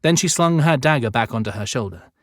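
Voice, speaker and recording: A man's voice: mid-range voice, lively intonation, brisk, fairly bright tone, no room sound, studio quality. A man narrating scripted fiction as an audiobook storyteller.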